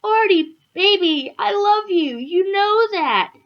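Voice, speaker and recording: Apologetic, doting boyfriend in a story, voiced by a woman: high, pleading, coaxing, lo-fi sound. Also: expressive, takes it slowly, full character voice. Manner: soft-hearted, coaxing, pleading. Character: affectionate, pleading, apologetic male lover.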